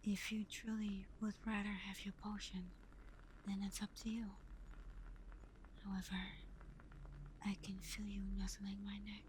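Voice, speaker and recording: Woman doing a seductive vampire gf for asmr roleplay, real soft n breathy, super slow, mellow dark tone, close on the mic. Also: mid-range voice, little pitch movement, a little hiss or hum, close to an everyday voice, low-quality recording. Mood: tender, seductive. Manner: soft, breathy, half-whispered, unhurried.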